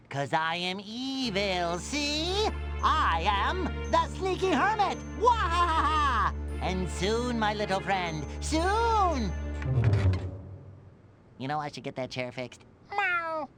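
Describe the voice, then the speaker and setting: Childish cartoon hermit villain, theatrical, gleeful, swooping pitch, unhurried, music behind. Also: female voice, very animated. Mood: gloating. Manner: gleeful theatrical boasting with small asides. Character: silly childish self-declared evil sneaky hermit villain. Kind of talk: video game cartoon voice acting.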